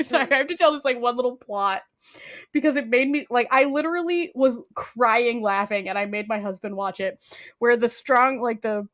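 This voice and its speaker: A woman's voice: fairly high pitch, a bit bright. A young woman co-hosting a podcast, chatting casually about a comedy show she is enjoying, rambling and full of fillers. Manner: rambling, full of "like", breaks into laughter. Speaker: podcast co-host. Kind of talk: casual chat recommending shows.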